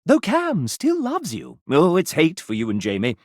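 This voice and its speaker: Swoopy, flamboyant dating game host. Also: male voice, fairly high pitch, huge pitch swings, normal speed, dry recording, studio quality. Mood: dramatic verdicts. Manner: showy, arch announcer delivery with dramatic swoops. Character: flamboyant, theatrical male dating game-show host, cheeky and gushing. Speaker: game host character announcing dating results. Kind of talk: theatrical video game character voice acting.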